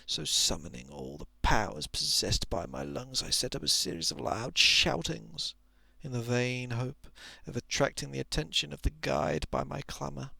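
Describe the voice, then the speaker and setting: A man reading a story in a soft, hushed ASMR style, with measured phrasing that often sinks into a whisper, close to the microphone. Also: medium pitch, expressive, gravelly, dry recording. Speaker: narrator.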